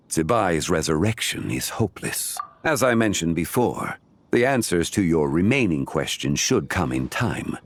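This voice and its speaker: A man voicing a grave, cautious strategist in a fantasy video game, formal, deliberate and portentous. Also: deep voice, exaggerated voice.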